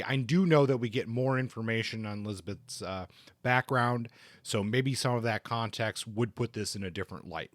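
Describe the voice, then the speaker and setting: A middle-aged man co-hosting a book podcast, talking casually in a halting, hesitant way with measured judgments. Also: fairly low pitch, dry recording.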